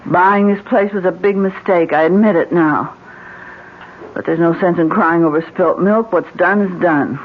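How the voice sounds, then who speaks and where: An actress with an American accent playing an anxious, pleading wife in an old-time radio drama. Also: female voice, fairly low pitch, normal speed, a little hiss or hum, lightly acted. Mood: firm pleading. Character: anxious, pleading wife urging her husband to leave. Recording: thin, phone-like old radio recording.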